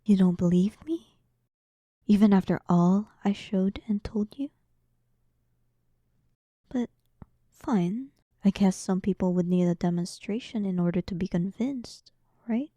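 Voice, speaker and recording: A woman playing a powerful demon queen in an audio roleplay, dominant, sarcastic and playfully condescending, often dropping into breathy half-whispers. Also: medium pitch, a little slow, long pauses, mellow, no echo, close to an everyday voice. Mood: boastful. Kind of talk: scripted audio roleplay addressed to the listener.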